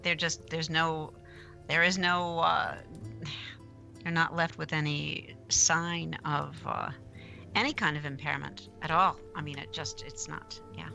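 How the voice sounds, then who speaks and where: Female co-host, low register, halting reactive chat, music behind. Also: fairly even intonation, creaky, sharp tone. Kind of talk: casual chat.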